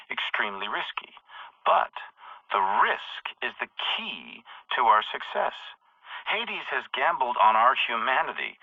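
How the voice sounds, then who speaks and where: An actor playing a ruthless, calculating head of a private military company, recording a commanding, measured monologue for a game. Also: male voice, fairly low pitch, no room sound. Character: ruthless, calculating older male military CEO. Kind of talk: recorded audio log monologue. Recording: dry, phone-like narrow audio.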